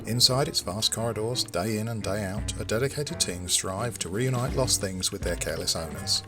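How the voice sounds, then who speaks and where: A low, deep male narrator played by an actor introduces a whimsical radio comedy. He reads like someone opening a storybook, with music clearly underneath. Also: normal speed, close to an everyday voice. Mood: storybook introduction.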